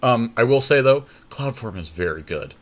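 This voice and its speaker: A man's voice: medium pitch, lively intonation, normal speed. A man co-hosting a podcast, giving casual, informal commentary on a card game draft as he thinks aloud.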